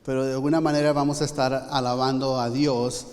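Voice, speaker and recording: A man's voice: mid-range voice, flat delivery, fairly quick, a little mellow. A man interpreting a sermon live, translating on the fly with frequent restarts. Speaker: church interpreter. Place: church sanctuary. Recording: long church hall reverb.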